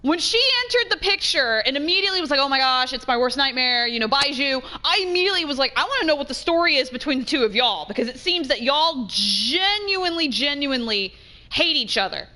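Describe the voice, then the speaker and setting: Bright, high-voiced woman gamer. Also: brisk. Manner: excitable, repeats phrases for emphasis. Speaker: let's play host. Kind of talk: casual gameplay reaction commentary. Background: game music.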